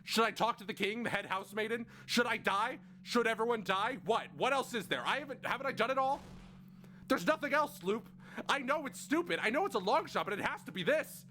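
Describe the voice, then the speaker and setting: A man's voice: expressive, a little slow, pauses often, a bit bright, a little hiss or hum. A man voicing an anxious young male traveller trapped in a time loop, firing off rising, desperate questions. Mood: frantic. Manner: rising frantic questioning, voice climbing in pitch. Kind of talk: fan voice acting of game dialogue.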